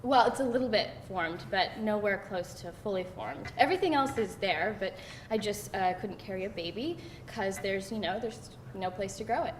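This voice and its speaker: A woman's voice: moderate pace, lightly acted. A woman playing a candid, self-deprecating girlfriend in a casual, conversational screenplay read. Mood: hesitant, vulnerable. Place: live table read in a roomy indoor space. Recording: roomy live room, noisy rumble.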